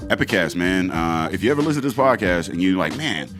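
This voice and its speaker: Male podcast host, deep voice, relaxed plug-reading delivery, studio mic, music bed. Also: fast talker. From Pittsburgh, USA. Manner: laid-back, loose, swearing, many fillers. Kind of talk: casual podcast chat, reading sponsor plugs.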